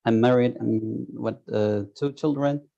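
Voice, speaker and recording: A man in his thirties with a Turkish accent, a guest on a video call interview, talking haltingly and searching for words. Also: medium pitch, steady tone, creaky, dark tone. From Turkey. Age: 30s. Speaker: guest, software tester. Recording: dull lo-fi video call audio.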